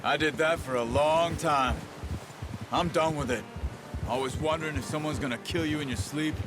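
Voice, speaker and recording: An actor playing a calm, stoic and loyal outlaw in a video game, speaking in measured, plain, terse phrases. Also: male voice, fairly high pitch, background noise, natural voice. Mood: calm, frank. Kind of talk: video game cinematic acting.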